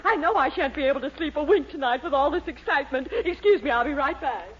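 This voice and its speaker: An actress playing an excitable, bossy married woman with a gushing, theatrical manner in a 1940s radio comedy. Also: high-pitched, quick pace. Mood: gleeful. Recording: muffled noisy old radio recording, studio audience.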